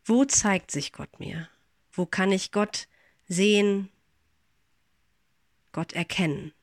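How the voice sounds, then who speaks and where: A middle-aged woman pastor speaking close to the mic in a dry, dead room. Her delivery is calm, gentle and reflective, and her voice carries a slight congestion from a recent infection. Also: medium pitch, takes it slowly, no echo. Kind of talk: spoken devotional.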